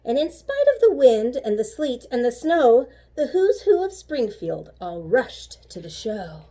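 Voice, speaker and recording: A woman reading a children's story aloud like a teacher, animated and rhythmic with the rhymes. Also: fairly high pitch, measured pace. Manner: animated, rhythmic rhyming delivery.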